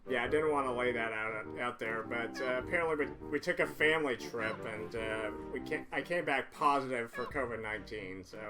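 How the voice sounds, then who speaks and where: A man giving casual let's-play commentary, his voice hoarse and cracking, rambling along and correcting himself as he goes. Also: medium pitch, fairly quick, few pauses. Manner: rambling, self-correcting, chatty. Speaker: video game player. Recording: loud game music under voice.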